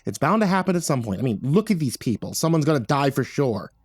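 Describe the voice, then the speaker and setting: A man's voice: mid-range voice, big pitch swings, quick pace, a little mellow, husky, dry recording. A man voicing a hulking female caretaker in an audiobook, blunt, smug and sneering. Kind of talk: audiobook character voice.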